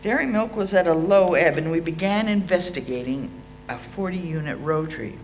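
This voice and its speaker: An Australian woman in her sixties reading a prepared eulogy aloud in a measured, dryly understated way. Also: on the low side, a little hiss or hum, rough recording. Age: 60s. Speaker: eulogy reader at a memorial. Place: indoor hall.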